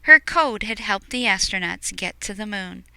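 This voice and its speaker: A woman reading a picture book aloud expressively, explaining things to young listeners in hushed asides. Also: medium pitch, expressive, moderate pace, vocal fry, clean tone, no room sound.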